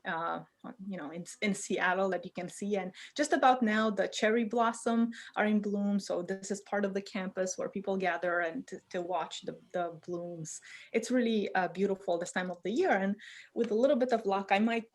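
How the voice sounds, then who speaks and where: A woman's voice: medium pitch, normal speed. A woman in her thirties from Romania gives a chemistry webinar lecture from her home basement over a video call, speaking informally with many fillers and restarts. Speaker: chemistry professor giving a webinar talk.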